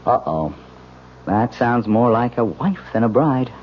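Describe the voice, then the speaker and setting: An actor playing an affectionate, smooth-talking young American husband in a radio drama, coaxing in a casual way. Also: male voice, medium pitch, animated, unhurried, close to an everyday voice. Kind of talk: 1970s radio drama acting. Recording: dull radio recording with faint noise.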